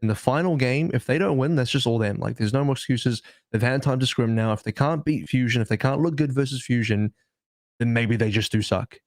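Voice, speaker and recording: Male esports analyst, dry studio sound, mid-pitched, conversational but insistent, repeats himself for emphasis. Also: fairly quick, no room sound. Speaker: podcast panelist.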